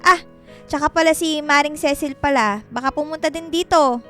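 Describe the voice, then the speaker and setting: A woman's voice: high voice, moderate pace, pushed and bright, soft background music. A woman playing a nagging, exasperated mother of a teenage girl in a Filipino radio drama, scolding and exclaiming. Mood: grumbling, matter-of-fact.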